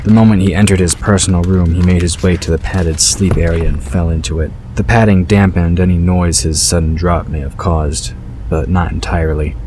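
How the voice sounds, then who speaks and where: A man reading scripted fantasy fiction as the narrator of a story. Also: deep voice. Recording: clear background ambience noise.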